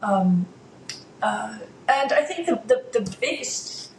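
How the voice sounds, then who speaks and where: Dutch-born woman in her fifties, gravelly voice, lots of ums, echoey room with a faint hiss. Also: fairly high pitch, expressive, slow pace. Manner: hesitant, many fillers and restarts. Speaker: interview guest. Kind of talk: casual conversation.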